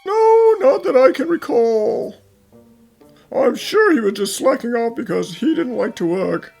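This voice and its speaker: A woman's voice: fairly high pitch, animated, unhurried, vocal fry, a little mellow, faint music behind, exaggerated voice. A woman playing a blustering, obsequious male factory foreman in an amateur anime fan dub, evasive and exaggerated. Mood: dismissive.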